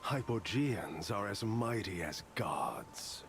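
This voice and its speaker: A whispering sinister cult master. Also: male voice, lowish voice, lively intonation, slight background noise. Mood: menacing. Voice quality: hushed whisper. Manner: slow, menacing. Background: game music.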